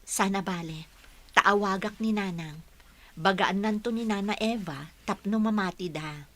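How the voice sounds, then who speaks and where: A woman's voice: mid-range voice, measured pace, lightly acted. A woman playing a sensible, reassuring older sister in a radio drama, with an Ilocano accent.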